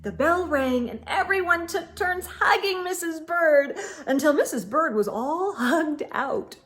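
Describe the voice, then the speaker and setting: A woman narrates a children's picture book in a high voice. She reads slowly and deliberately, with expressive storytime delivery meant for young listeners. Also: slight background noise.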